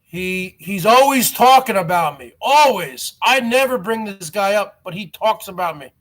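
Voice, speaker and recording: A man's voice: high voice, expressive, moderate pace, fairly bright tone, rough recording. A man in his forties with a stutter, hosting a live stream and airing a grievance to his viewers in a repetitive, aggrieved way. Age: 40s. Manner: aggrieved, repeats himself.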